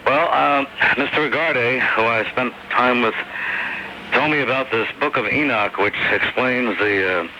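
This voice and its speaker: Male phone-in caller, very tense sharp tone, halting phrasing, muffled shortwave sound with faint noise. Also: mid-range voice. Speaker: caller to a radio show. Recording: dull noisy phone call over shortwave radio.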